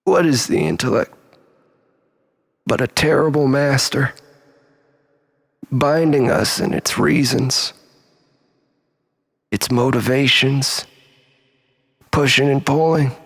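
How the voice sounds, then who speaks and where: A man telling a rambling, melodramatic story as a mock-philosophical monologue, in a husky, gravelly voice with long echo. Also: mid-range voice, slow pace, long pauses, fairly bright tone. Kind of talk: dramatic comic monologue storytelling. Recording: long reverberant hall echo.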